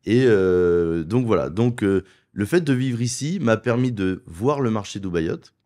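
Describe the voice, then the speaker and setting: Persuasive low-voiced French man. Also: lively intonation, darkish tone. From France. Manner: persuasive, lists points in quick runs. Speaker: wealth advisor and property investment guest. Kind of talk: conversational interview. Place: studio.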